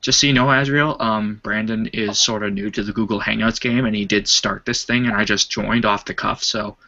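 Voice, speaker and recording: Low, flat-voiced man on a call. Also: fairly quick. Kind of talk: casual online voice chat. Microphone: online voice call. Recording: lo-fi call audio.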